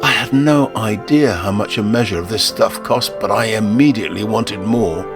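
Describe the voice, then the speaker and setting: A man giving a dramatic, wry first-person narration of a short story, expressive and theatrical. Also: fairly low pitch, normal speed, music in the background.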